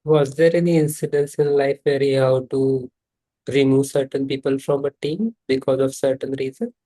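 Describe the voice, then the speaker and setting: A man interviewing a guest, asking questions in a halting way as he searches for words. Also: mid-range voice, fairly even intonation, mellow, poor audio. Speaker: interviewer, healing center owner.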